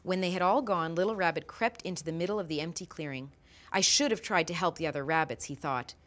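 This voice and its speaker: A female teacher reading a picture book aloud to her class in an expressive storytelling manner. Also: fairly low pitch. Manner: expressive storytelling, slow and lively.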